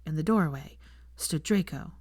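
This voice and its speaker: Female fiction narrator, lowish pitch, scripted storytelling, dry close recording. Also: normal speed, darkish tone, breathy edge, no echo.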